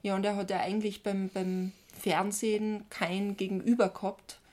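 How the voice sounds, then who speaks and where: A woman speaks with an Austrian accent at an average female pitch. She co-hosts a podcast and shares her views in loose, informal conversation. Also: flat delivery, moderate pace, darkish tone. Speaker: podcast co-host. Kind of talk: casual chat.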